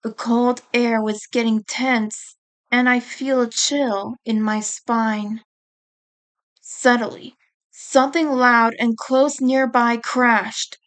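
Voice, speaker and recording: A woman reading a scripted first-person story aloud as its author, in a deliberate storytelling manner. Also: on the high side, steady tone. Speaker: author reading own book chapter.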